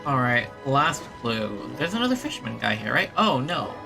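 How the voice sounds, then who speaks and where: A woman's voice: rumbling low, big pitch swings, moderate pace, gravelly, over music. A woman giving casual live commentary as she plays a game, with short reactions and easy laughter. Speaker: gaming streamer.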